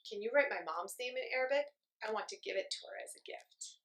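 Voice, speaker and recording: A woman reading the lines of a kind young girl classmate in a gentle storybook voice. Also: fairly high pitch, expressive, a little fast, echoey room, far from the mic. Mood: hopeful.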